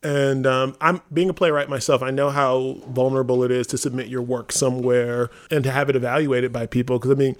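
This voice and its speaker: A man's voice: medium pitch, flat delivery, fairly quick, few pauses. Casual, self-deprecating American man. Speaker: artistic director and playwright on a theatre company podcast. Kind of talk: casual conversational podcast talk.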